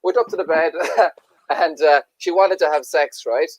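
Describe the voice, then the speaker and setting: A man doing stand-up over a video call, telling a crude anecdote with self-mocking, deadpan asides. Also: fairly high pitch, moderate pace, no room sound, poor audio. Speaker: stand-up comedian.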